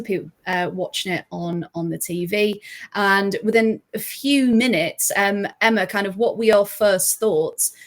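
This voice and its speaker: Upbeat woman podcast host, casual chat, quick to laugh. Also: medium pitch. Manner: upbeat, laughs often, frequent ums.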